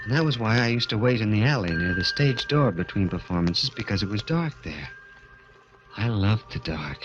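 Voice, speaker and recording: An actor as an anguished little acrobat, music behind. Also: male voice, fairly low pitch, lively intonation, muffled recording, close to an everyday voice. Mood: bitter, brooding. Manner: intense, halting, melodramatic. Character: bitter, anguished little-person vaudeville acrobat, condemned prisoner. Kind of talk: 1940s radio drama acting.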